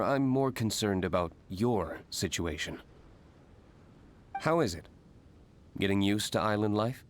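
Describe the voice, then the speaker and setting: A man voicing a guarded, skeptical but quietly considerate investigator in a video game, composed and formal. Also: fairly low pitch, a little hiss or hum. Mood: reserved, considerate. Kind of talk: scripted video game character dialogue. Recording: music behind the voice.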